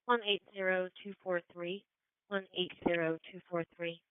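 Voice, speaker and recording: Woman, radio dispatcher, tinny narrow band, dry sound, clear voice, clipped procedural call-outs. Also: on the low side, little pitch movement, normal speed, no echo. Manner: clipped, procedural, reading out numbers digit by digit. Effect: two-way radio. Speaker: police and fire radio dispatcher.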